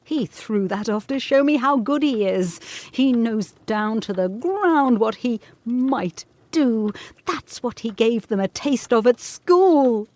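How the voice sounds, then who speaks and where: A woman playing an anxious, probing young governess in a gothic audio drama, earnest, measured and intense. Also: fairly high pitch, lively intonation, darkish tone, no room sound. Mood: obsessive conviction.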